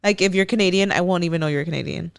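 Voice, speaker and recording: A woman's voice: fairly low pitch, rapid-fire, gravelly, clear, resonant tone, no echo, studio quality. Blunt, cursing woman with an LA Mexican American accent. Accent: Mexican American, Los Angeles. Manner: blunt, profane, exasperated rants. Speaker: podcast co-host. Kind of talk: casual chat.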